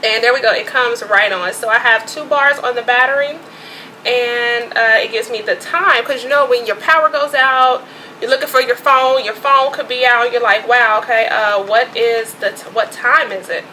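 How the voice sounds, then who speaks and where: A woman with a fairly high, bright voice chats informally through a product show-and-tell. Her delivery is conversational and full of asides. Faint background noise sits behind her. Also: steady tone, normal speed, low-quality recording. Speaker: vlogger presenting emergency gear.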